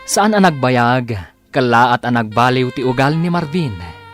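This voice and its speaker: A man announces and narrates a radio drama in an Ilocano accent. He takes his time and moves his pitch widely for dramatic weight. A music bed sits lightly underneath. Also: very animated, a little slow.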